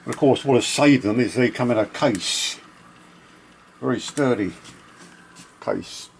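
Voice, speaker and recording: A man giving a casual, unscripted hands-on demonstration, speaking haltingly as he thinks aloud. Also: medium pitch, vocal fry, a little hiss or hum. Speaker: vintage audio equipment collector.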